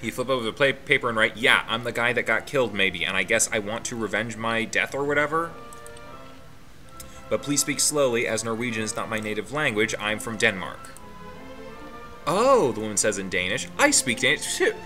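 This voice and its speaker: A man's voice: lowish voice, expressive, fairly quick, music in the background. A man hosting a let's play, reading the game text aloud with his own asides and chuckles.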